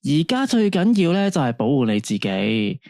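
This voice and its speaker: A man voices a late-night radio host in a Cantonese radio drama, patient and soothing with a Hong Kong accent. His pitch rises and falls very expressively, and the recording is dry and close. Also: sing-song, normal speed, darkish tone, dry recording. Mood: alarmed. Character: gentle male late-night radio host, counselling a caller.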